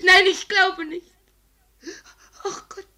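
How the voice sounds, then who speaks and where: An actress playing a tender, desperate abandoned mistress in a 1950s German radio drama, halting, tearful and pleading into a telephone. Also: female voice, very high-pitched, fairly even intonation, pushed and bright, full character voice. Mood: forcedly brave, tearful.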